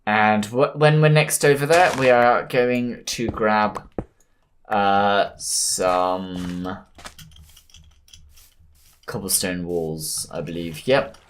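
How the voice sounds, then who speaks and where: A man with a hoarse voice from a cold, easygoing and punny as he plans his moves aloud while commentating a game. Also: on the low side, fairly even intonation, extremely slow, long pauses, a little hiss or hum. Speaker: gameplay commentator. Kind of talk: let's play commentary.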